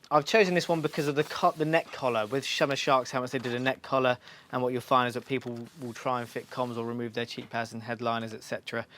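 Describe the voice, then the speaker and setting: Bright-voiced man giving a hands-on tutorial. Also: mid-range voice, a little hiss or hum. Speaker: motorcycle shop staff giving a fitting tutorial. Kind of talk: hands-on instructional demonstration, informal.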